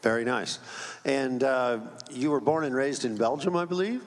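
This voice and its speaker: A man's voice: mid-range voice, normal speed. A man announcing results and chatting with players on stage at a live event, upbeat and joking. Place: large indoor arena. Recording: echoey hall sound over PA.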